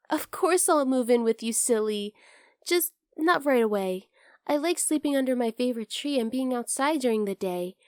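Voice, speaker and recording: A woman's voice: a little high, lively intonation, normal speed, clear, resonant tone, no echo, clean studio sound, close to an everyday voice. A woman voicing a teasing, curious young bunny girl in an ASMR roleplay, speaking to the listener in a playful, casually blunt way. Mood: amused, affectionate.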